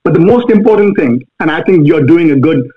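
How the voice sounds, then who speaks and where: A man with an Indian accent, a guest answering interview questions earnestly, pausing often on fillers. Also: a little high, animated, husky. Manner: earnest, halting with many fillers. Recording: tinny phone-like line.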